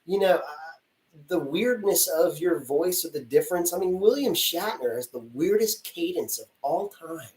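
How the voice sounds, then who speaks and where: A man's voice: on the high side, animated, normal speed, darkish tone. A man working as a casting director, giving blunt, chatty advice to actors in a live Q&A and acting out little dialogues as he goes. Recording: roomy sound from a distance.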